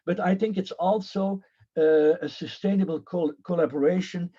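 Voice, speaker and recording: A man's voice: high-pitched, fairly even intonation, moderate pace, mellow. Hesitant man on a muffled call. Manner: hesitant, many fillers and restarts. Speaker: panelist. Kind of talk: conference panel remarks.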